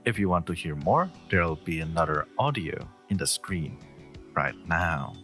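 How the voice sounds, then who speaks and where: A man's voice: sits low, lively intonation, moderate pace, a bit bright, faint music behind. A man speaking as an audio roleplay creator, giving a casual channel outro in his own voice.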